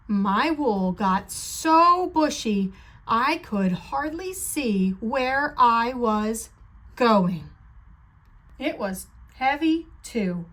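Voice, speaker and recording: A woman voicing an ordinary, self-deprecating, fretful sheep in a children's storybook, with a wry, drawn-out delivery. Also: on the high side, a bit bright, exaggerated voice. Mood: rueful.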